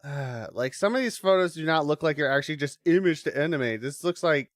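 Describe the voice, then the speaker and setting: A man's voice: on the high side, expressive, fairly quick, no room sound, clean studio sound. A man hosting a live watch-along, giving casual reaction commentary with swearing and muttered asides.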